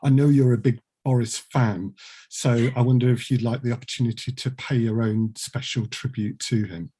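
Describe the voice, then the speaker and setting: A man hosts a casual interview podcast. His voice sits at a medium pitch with a slightly rough, husky edge. He talks in long, wordy turns with a dry, teasing wit. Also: normal speed, dark tone. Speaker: podcast host and producer.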